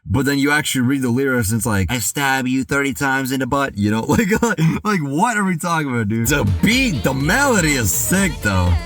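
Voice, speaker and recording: A young man chatting casually as he reacts to music, rambling through his thoughts with plenty of filler. His pitch leaps up and drops down all the time. His voice sounds a little worn, and the recording is dry and close with no room sound. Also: a little fast, few pauses, no room sound. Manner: rambling and excitable, full of "dude" and "like"; loud sudden outbursts when hyped. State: voice worn from singing practice.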